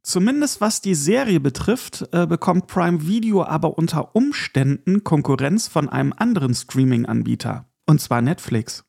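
A man's voice: a little high, sing-song, normal speed, creaky, hardly any pauses, a little mellow, breathy edge. A man hosting a podcast, chatting informally through news and opinions and addressing the listener directly. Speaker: podcast host. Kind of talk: casual solo news and review commentary.

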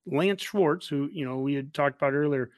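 A man's voice: fairly high pitch, normal speed, no echo. A man in casual sports chat, making his points emphatically and anecdotally as a fan show host.

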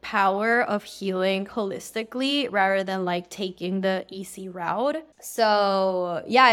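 A woman's voice: mid-range voice, fairly bright tone, smooth. A young woman from Peru, a podcast guest telling her personal story casually, candid and earnest. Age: young adult.

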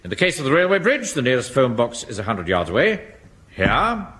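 An actor playing a pompous, easily flustered middle-aged English Home Guard captain in a British sitcom, speaking in clipped, officious commands. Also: male voice, medium pitch, wildly swooping pitch, fairly quick, a bit bright. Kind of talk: British sitcom acting before an audience. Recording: noisy old radio comedy recording.

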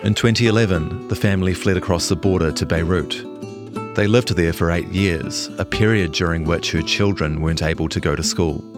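A man's voice: sits low, a little fast, music in the background. A man reading a scripted longform news feature as a journalist narrator.

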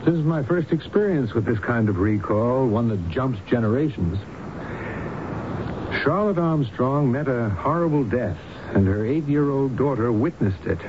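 An actor playing a cautious, measured hypnotist doctor in a radio drama, speaking in a deliberate, explanatory way. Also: male voice, medium pitch, lively intonation, natural voice. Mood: grave, explaining. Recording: muffled, noisy old radio broadcast.

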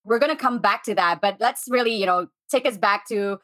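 A woman's voice: medium pitch, fairly quick, pushed and bright. A woman hosting a podcast, introducing and interviewing in a presenter's style. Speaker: podcast host.

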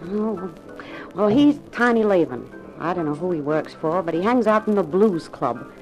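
A brassy, streetwise dance-hall girl acted by a woman, slangy and flip with a swooping, lively delivery. She sounds wary and knowing, in old fifties radio drama style with music behind her. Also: lowish voice, normal speed, close to an everyday voice. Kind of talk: 1950s radio detective drama acting. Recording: vintage 1950s radio recording with music.

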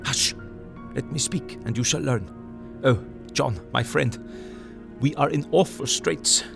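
A man playing a grave older male professor who speaks formal, halting broken English, urgent and earnest, sometimes dropping to a hush. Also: medium pitch, vocal fry. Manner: urgent, earnest, drops to hushed tones. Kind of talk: audio drama acting. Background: music.

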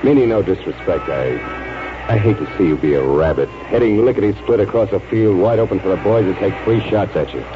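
A man acting a plain-spoken, folksy country cousin in a 1940s radio drama, talking haltingly. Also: low-pitched, noisy background, lightly acted. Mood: reluctant admiration. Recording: dull 1940s radio, music behind.

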